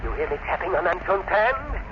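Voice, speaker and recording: A man's voice: high voice, big pitch swings, fairly quick. An actor playing a vengeful, theatrical mad professor who taunts his enemy, in a 1930s radio thriller style. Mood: gloating, menacing. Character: vengeful, gloating mad professor, crippled villain. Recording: noisy muffled 1930s radio recording.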